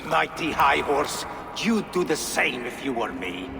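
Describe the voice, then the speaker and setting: An actor playing a defiant enemy commander who shouts orders to his archers in a gravelly voice. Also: a little high, sing-song, a little slow, exaggerated voice. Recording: echoey game audio with long reverb.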